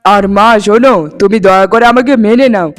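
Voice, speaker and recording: A man's voice: high-pitched, fairly quick, fairly bright tone. A man voicing an earnest, resolute young suitor in a melodramatic cartoon, speaking in solemn declarations. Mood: firm. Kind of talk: Bengali cartoon dubbing, melodrama. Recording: music behind the voice. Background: background music.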